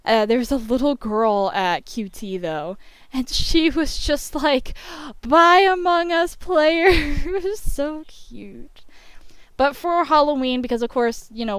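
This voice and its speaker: A woman's voice: mid-range voice, clean tone, dry recording. A young woman telling a casual, chatty story in a giddy way, acting out what people said. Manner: giddy, animated retelling with acted-out quotes. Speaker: storyteller narrating over a speedpaint video.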